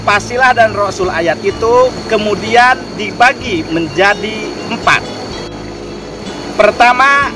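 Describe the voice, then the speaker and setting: A man giving an explanatory religious lecture in a measured, didactic way, phrase by phrase. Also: sits high. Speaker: lecturer on Quranic studies. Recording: distant mic with music behind. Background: clear background music.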